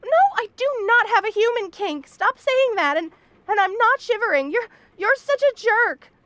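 A woman's voice: very high-pitched, animated, normal speed, fairly bright tone, dry recording. A woman voicing a shy young bunny girl roommate in a romantic comedy audio roleplay, stammering and protesting in a flustered way. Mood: flustered, indignant.

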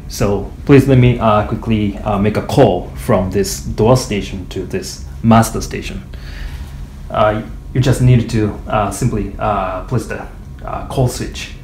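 A man giving an informal product demonstration in a hesitant, halting way with frequent pauses. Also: lowish voice, a little mellow. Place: small indoor office or meeting room. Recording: echoey room, faint background noise.

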